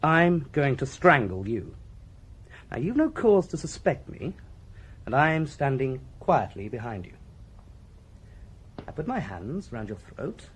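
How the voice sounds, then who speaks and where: A British forensic doctor in a radio crime drama. His husky, mid-pitched voice swoops with lively emphasis as he explains things precisely. He sounds pleased with his own cleverness and enjoys instructing. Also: unhurried, pauses often. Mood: pleased, instructive. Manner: didactic, precise, self-satisfied. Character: brisk, relishing male forensic doctor, theatrically pedantic.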